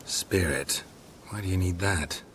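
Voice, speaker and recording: An actor playing a stoic, dry-witted monster hunter in a video game, laconic and sardonic. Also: male voice, low-pitched, a little slow, background noise. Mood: wry, questioning. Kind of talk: scripted video game acting.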